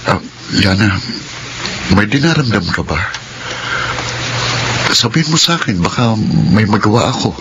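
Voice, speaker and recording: A man's voice: lowish voice, very animated, a little slow, pauses often, background noise. An actor playing an attentive, devoted young working man in love in a Filipino radio drama. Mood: concerned.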